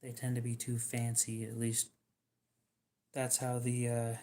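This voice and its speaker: A man hosting a livestream in casual chat, talking in a dry, monotone, subdued way that sounds depressed to him. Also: medium pitch. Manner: dry, self-deprecating, unhurried. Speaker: livestream host.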